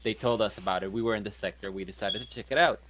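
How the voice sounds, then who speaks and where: Brash, swearing tabletop player. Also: male voice, lowish voice, very fast, a bit bright, slight background noise. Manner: brash, profane, blunt. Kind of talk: in-character roleplay chat.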